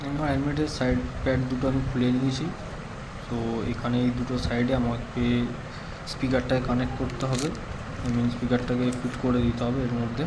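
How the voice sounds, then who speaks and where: A man's voice: medium pitch, flat delivery, fairly quick, background noise. A man giving a casual hands-on product demonstration as a vlogger, explaining plainly step by step. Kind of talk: casual hands-on tutorial narration.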